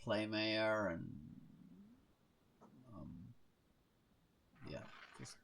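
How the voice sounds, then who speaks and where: A man's voice: on the low side, very slow, creaky, a bit bright, smooth. A man hosting a podcast as a casual chat, rambling along in a jokey way. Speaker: podcast host.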